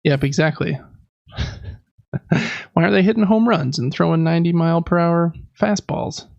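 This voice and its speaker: A man in his thirties hosts a podcast in a slightly high, creaky voice. He rambles casually and pokes fun at himself. The sound is close and dry. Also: steady tone, normal speed, dry recording, muffled recording. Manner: rambling, self-deprecating. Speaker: podcast host. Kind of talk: casual chat.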